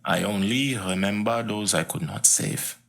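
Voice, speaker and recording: Casting: hardened exiled Haitian gang leader for a game; low forceful voice, husky, measured and grave. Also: male voice. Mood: wry, guarded. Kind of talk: video game cutscene acting.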